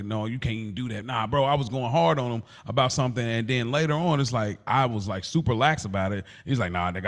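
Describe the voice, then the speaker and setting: A man chatting casually as a podcast co-host, telling stories in loose slang and acting out what people said. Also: medium pitch.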